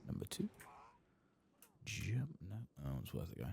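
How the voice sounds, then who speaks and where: Male ASMR gaming host: extremely low, crackling vocal fry, hushed delivery, faint background noise. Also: wildly swooping pitch, measured pace. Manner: hushed, soft-spoken, at times whispering. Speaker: host of a gameplay channel. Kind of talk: soft-spoken ASMR video game commentary.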